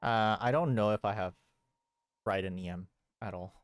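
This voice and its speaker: A man's voice: on the low side, moderate pace, smooth, dry recording, faint music behind. A man chatting casually as a game streamer, often repeating and rephrasing himself. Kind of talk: casual gaming chat.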